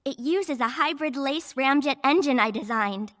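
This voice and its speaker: A woman's voice: high voice, normal speed, fairly bright tone. An actress playing a perky, teasing young female engineer who is friendly and confident, in a video game cutscene. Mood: playful, friendly.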